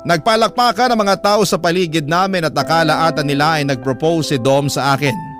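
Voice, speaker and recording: Higher-pitched Filipino male narrator over music. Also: brisk. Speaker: radio drama narrator reading a letter. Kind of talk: scripted first-person story narration. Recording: prominent music bed behind narration. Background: background music.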